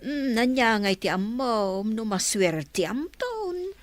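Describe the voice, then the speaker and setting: A woman playing a nagging but caring old grandmother in an Ilocano radio drama, chiding in a drawn-out way. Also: fairly high pitch, big pitch swings, no echo. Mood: reassuring.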